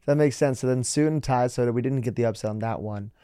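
A man's voice: mid-range voice, fast, mellow, clean tone, dry recording, clean studio sound. A young man hosting an interview podcast, upbeat and chatty, with many likes as he talks. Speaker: podcast host. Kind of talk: casual interview chat.

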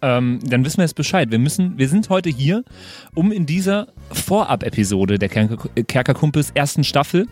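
A man's voice: fairly high pitch, sing-song, moderate pace, a little mellow, faint music behind. A man co-hosting a podcast in casual, informal conversation. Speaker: podcast co-host. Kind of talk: casual chat.

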